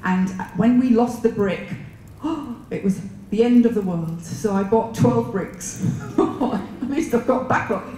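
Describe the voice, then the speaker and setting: A woman's voice: fairly high pitch, slow pace, mellow. Chatty northeast English woman in echoey hall. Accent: northeast English with an Italian mix. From northeast England. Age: middle-aged. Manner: chatty, storytelling, many um fillers. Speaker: speaker. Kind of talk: personal talk to an audience. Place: conference room with a seated audience. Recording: roomy, echoey hall with faint noise.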